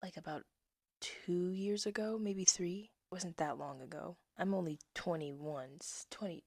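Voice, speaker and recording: Soft low-voiced young woman reading poems. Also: measured pace, dry recording, studio quality. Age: 20s. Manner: soft-spoken, drifts into whispers, laughs at herself. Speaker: poet reading her own work. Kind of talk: casual reading of old poems with asides.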